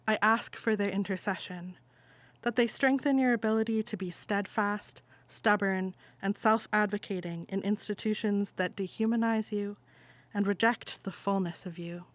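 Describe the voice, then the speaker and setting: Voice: medium pitch, fairly even intonation, a bit bright, no room sound, natural voice. Solemn, tender afterlife visitor voiced by a playwright, dry sound. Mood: solemn, urgent. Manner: solemn, deliberate, incantatory address. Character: Francis, gentle resolute spirit-visitor from the afterlife, pleading. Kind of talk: dramatic monologue in audio play excerpt.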